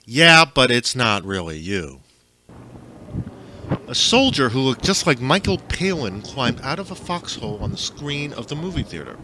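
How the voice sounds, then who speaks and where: A man narrates a first-person audiobook of his own stories. His delivery is theatrical, with pitch that swings freely up and down. The homemade recording carries clear background noise. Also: big pitch swings, moderate pace.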